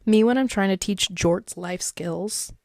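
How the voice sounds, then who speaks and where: A woman's voice: medium pitch, creaky, a little mellow, dry recording, clean studio sound. A woman with a congested, stuffed-up voice hosting a solo podcast, chatting in a rambling way and reading advice posts aloud. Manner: chatty and rambling, many likes and fillers. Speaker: podcast host.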